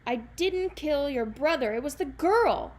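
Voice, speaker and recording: Woman playing a desperate, unhinged young man, high-strung, storybook voice. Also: lively intonation, unhurried, a little mellow. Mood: panicked. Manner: pleading, then unhinged ranting.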